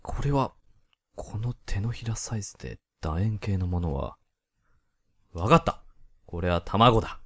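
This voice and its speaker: A man playing a shameless, overeager, mock-earnest companion in a comedy radio drama, moving between theatrical pleading and deadpan absurdity. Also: fairly low pitch, a little slow, pauses often. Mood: puzzled.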